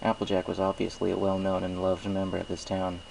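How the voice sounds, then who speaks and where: A man narrates a story in the first person, reading it aloud as an amateur reader. His voice is on the low side with noticeable creak, and a faint noise sits behind it. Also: completely flat delivery, a little fast, a little mellow, poor audio.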